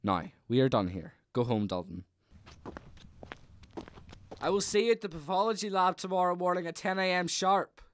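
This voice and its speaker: A man's voice: high voice, expressive, normal speed, long pauses, a little hiss or hum. A man playing a stern, exasperated professional detective inspector in an amateur radio drama, speaking formally and precisely. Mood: stern, businesslike. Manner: formal, clipped, no contractions.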